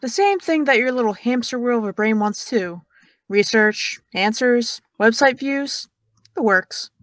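A woman's voice: a little high, expressive, unhurried, a bit bright, dry recording. A young actress playing a sarcastic young woman who teases and needles in an audio drama. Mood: sly teasing. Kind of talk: audio drama dialogue of a video call.

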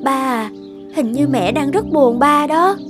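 An actress playing an earnest, worried young adult daughter, protective of her parents, in an animated drama. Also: high-pitched, a little slow, music in the background. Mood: concerned. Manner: earnest, pleading.

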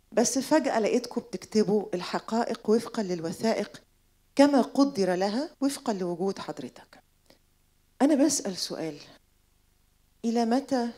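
A woman's voice: mid-range voice, darkish tone. A woman in her forties with an Egyptian accent asks a long, insistent, rhetorical question from the audience of a lecture hall. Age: 40s. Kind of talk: impassioned public question.

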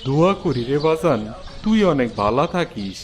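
A man's voice: mid-range voice, animated, normal speed, soft background music, close to an everyday voice. A man voicing a kindly, humble old village trader in a cartoon, speaking folksy rural eastern Bengali dialect. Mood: grateful, affectionate. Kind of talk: cartoon voice acting.

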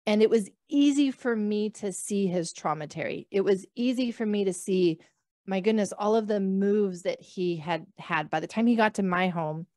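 A woman's voice: mid-range voice, normal speed, darkish tone, no room sound, studio quality. A woman in her thirties from the northern United States, a physician guest telling her story with many fillers over a video call. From northern United States, near the Canadian border. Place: small room at home. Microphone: podcast mic on a video call.

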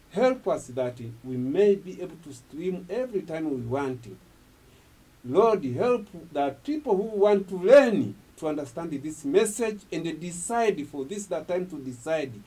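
Older Swahili-speaking preacher, emphatic. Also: male voice, fairly high pitch, darkish tone, husky. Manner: emphatic, gestures while preaching. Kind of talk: sermon closing and prayer. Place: indoor studio at a desk. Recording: distant from the mic.

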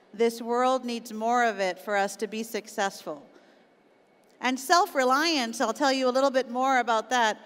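A middle-aged Canadian woman gives a motivational keynote on stage. Her voice is high and very clear, with deliberate stress on key words. The sound rings with the long reverb of a large auditorium. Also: a bit bright. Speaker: conference keynote speaker, business executive.

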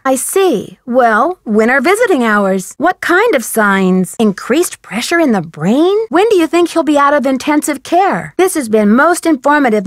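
Casting: young female game detective, American, high voice, courteous and curious, clean dry recording. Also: no room sound. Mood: curious, concerned. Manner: polite, crisply articulated, earnest. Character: young female amateur detective, polite, bright and inquisitive. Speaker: detective heroine of a mystery game. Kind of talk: scripted video game voice acting.

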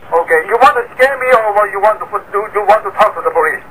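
High, sharp man repeating odd demands. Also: fast talker, rough recording. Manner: repetitive, broken phrases, demanding. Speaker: prank caller. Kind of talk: prank phone call.

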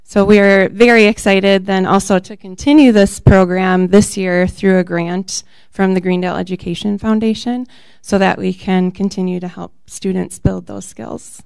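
A woman presenting as a school math interventionist at a board meeting, steady and informative with frequent pauses. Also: mid-range voice, gravelly, clean tone, no room sound. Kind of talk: prepared presentation.

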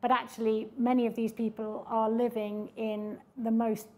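A woman's voice: fairly high pitch, flat delivery, moderate pace, warm tone. A woman presenting at a panel Q&A in an indoor event room, speaking reflectively with frequent fillers and restarts. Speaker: project presenter at a panel talk. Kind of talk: public Q&A discussion. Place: mid-sized indoor event room with audience.

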